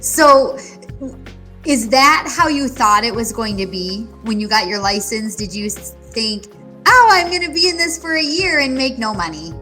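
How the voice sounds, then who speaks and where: A woman hosting a casual interview, encouraging and quick to affirm her guest. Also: on the high side, big pitch swings, music in the background. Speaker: podcast host interviewing an agent.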